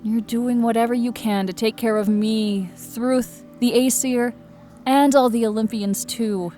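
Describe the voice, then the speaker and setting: Vulnerable, proud goddess queen in mythology audio drama, acted by a woman: unhurried, halting, earnest, emotional delivery. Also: fairly high pitch, fairly even intonation, warm tone, soft background music, lightly acted. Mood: earnest, grateful.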